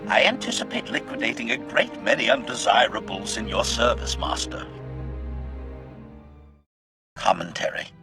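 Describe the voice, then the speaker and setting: A man's voice: mid-range voice, very animated, brisk, vocal fry, music in the background. A man voicing a cold, calculating, loyal assassin droid in a video game, with clipped, formal delivery. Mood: eager, servile. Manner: clipped, formal, announces each statement with a one-word label. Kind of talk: scripted video game dialogue.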